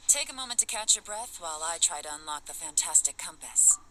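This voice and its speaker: A woman's voice: fairly low pitch, lively intonation, a little fast, background noise, lightly acted. An actress playing a composed, solemn woman who works mystical arts in a video game. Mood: reassuring. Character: composed woman working a mystical compass, chanting incantations.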